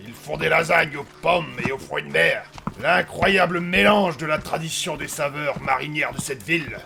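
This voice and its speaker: A man playing a boastful, gruff old sea captain, weakened and tipsy, with a gravelly voice and slangy, loud-mouthed talk. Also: fairly high pitch, normal speed.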